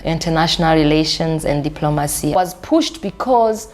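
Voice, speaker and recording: A woman's voice: low voice, animated, moderate pace, darkish tone. A woman, telling her life story as an interview guest, often restarting and repeating words. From Burundi. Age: 30s. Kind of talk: casual conversational interview.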